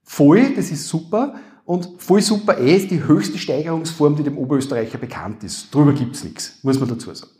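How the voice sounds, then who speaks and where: Upper Austrian male lawyer, slightly high voice, dry stage humor, echoey hall. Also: animated, a little fast, warm tone. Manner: dry joking asides, trailing off low. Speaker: lawyer and comedy panelist. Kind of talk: live stage talk with jokes. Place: university hall before a live audience. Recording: echoey room. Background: audience.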